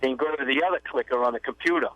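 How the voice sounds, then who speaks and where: An easygoing man chats on a radio show and mostly gives short, agreeable replies. His voice sounds dull and lo-fi, with the highs cut away. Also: very fast, a bit bright, no echo. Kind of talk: casual chat.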